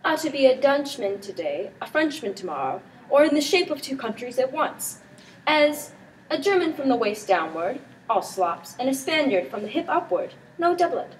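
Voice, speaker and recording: Excitable Shakespearean prince, played by a student girl, highish voice, amateur stage verse, off-mic with faint noise. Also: normal speed. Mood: playful teasing. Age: teenager. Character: teasing, excitable prince, a grown nobleman. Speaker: student performer. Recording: distant mic with background noise.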